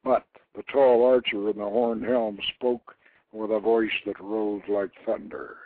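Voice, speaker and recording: A man narrating an ancient legend as a storyteller, with theatrical, grandiose and deliberate phrasing. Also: lowish voice, fairly even intonation, gravelly, no room sound. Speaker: storyteller, host reading his own novelette. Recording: very dry internet radio, narrow phone-like band.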